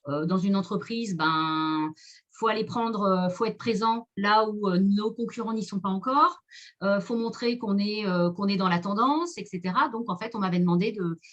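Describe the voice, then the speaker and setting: A woman's voice: fairly low pitch, lo-fi sound. A woman talking casually as a trainer in a webinar, explaining in a hesitant, meandering way with many repeated words. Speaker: marketing consultant and trainer speaking in a webinar.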